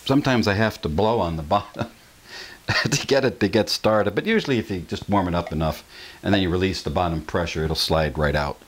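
Middle-aged man, low voice, folksy explainer, home kitchen, camera mic, faint background noise. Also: a little fast. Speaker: channel host. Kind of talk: casual kitchen demonstration.

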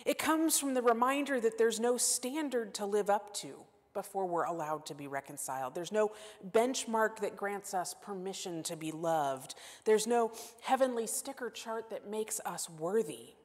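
A woman in her forties giving a sermon as guest preacher, earnest and self-deprecating, moving between emphatic lines and hushed asides. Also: mid-range voice, moderate pace, hardly any pauses. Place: church sanctuary. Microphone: lapel mic. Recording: long church-hall reverb.

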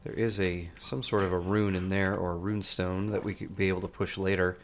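A man in his thirties with a stuffy nose from a cold, hosting and talking through a game he plays, greeting lively at first and then musing quietly to himself, recorded on a studio mic in a room at home. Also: brisk, slight background noise. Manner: lively greeting, then low muttered thinking aloud while playing. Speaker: let's play host. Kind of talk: gameplay commentary. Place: home room with shelves of plush toys, indoors. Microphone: large studio mic on a boom arm.

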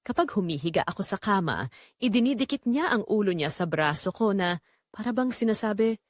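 A woman's voice: medium pitch, animated, brisk, no echo, clean studio sound. A young woman with a Filipino accent narrating a scripted first-person romance story in an emotive, reflective way.

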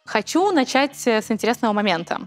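A woman's voice: on the high side, very animated, quick pace, soft background music. Young woman hosting a studio interview. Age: young adult. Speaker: interview host. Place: dark indoor studio set, seated in armchair with tablet.

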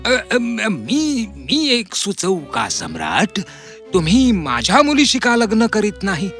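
A man playing a scheming, fawning courtier greedy for power in an animated fairy tale, with theatrical, wheedling stammers. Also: high voice, slow pace, faint music behind, exaggerated voice.

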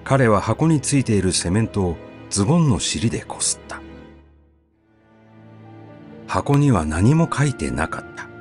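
A man's voice: fairly low pitch, big pitch swings, a little slow, long pauses. A man narrating a short story as a scripted audiobook reading, telling it expressively. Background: faint background music.

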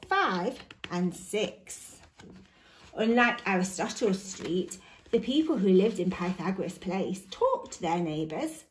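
A woman teacher reading a picture book aloud to young children, with deliberate, emphatic phrasing and dramatic pauses. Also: mid-range voice, darkish tone. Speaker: primary school teacher reading a story. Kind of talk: expressive storybook read-aloud for young children.

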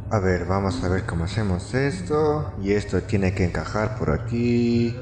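A young man playing a cocky, wry corporate employee in a Latin American Spanish video game fan dub, joking and expressive. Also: fairly low pitch, moderate pace, noisy background, close to an everyday voice. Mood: weary, focused. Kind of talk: amateur fandub of a video game. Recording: echoey room, music over the voice.

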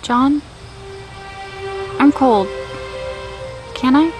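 Audio drama teen daughter: gentle, tentative, highish voice, unhurried, night insects behind. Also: female voice, over music, rough recording. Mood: quiet, accepting. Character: tentative, gentle fifteen-year-old girl, soldier's daughter. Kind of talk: naturalistic audio drama acting. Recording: steady outdoor night-insect noise.

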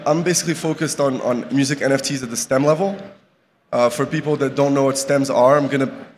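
A young man from Morocco giving a casual conference talk at a podium, full of fillers and restarts. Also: medium pitch. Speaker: conference speaker. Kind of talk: live conference talk with slides. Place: large conference hall with audience. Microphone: podium microphone. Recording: reverberant hall, faint background noise.

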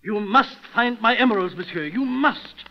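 An actor playing a distraught, imperious French aristocrat, pleading insistently in 1930s radio style. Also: male voice, sits high, expressive, normal speed, soft background music. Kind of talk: 1930s radio drama acting. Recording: dull 1930s radio recording.

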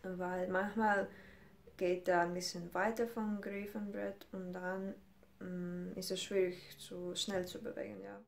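Slow-talking young woman from Ukraine teaching guitar. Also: medium pitch, little pitch movement, creaky. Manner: slow, careful non-native speech with hesitations. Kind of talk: guitar technique lesson. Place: small room indoors. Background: classical guitar playing.

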